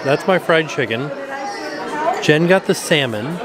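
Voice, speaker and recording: A man's voice: on the high side, lively intonation, a little slow. A man hosting a vlog, chatting upbeat about food on a camera mic in a busy hotel restaurant. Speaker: vlog host. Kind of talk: casual vlog narration. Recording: crowded room with piano music. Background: crowd chatter and piano music.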